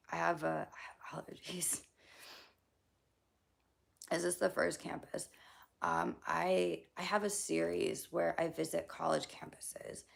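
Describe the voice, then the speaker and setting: A young woman streaming live and reading chat, her voice low and heavily gravelly with a hoarse, scratchy throat. She talks bluntly and loosely, full of fillers and casual swearing, often slipping into a near-whisper. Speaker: livestreamer answering chat.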